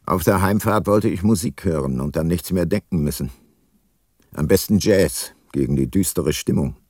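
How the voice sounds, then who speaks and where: Brooding older detective, low voice, dry studio sound, radio play. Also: male voice, normal speed, darkish tone, dry recording. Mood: brooding, reflective. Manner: reflective, sober. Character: brooding, sombre aging private detective narrator. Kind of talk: first-person radio drama narration and dialogue.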